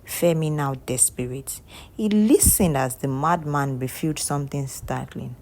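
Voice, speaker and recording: A woman from Nigeria narrating a folktale in a steady, solemn storytelling manner with dramatic pauses. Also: sits low.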